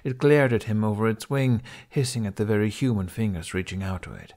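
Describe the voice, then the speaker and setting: A man's voice: fairly low pitch, brisk, darkish tone. A man reading a scripted story aloud as an audiobook narrator.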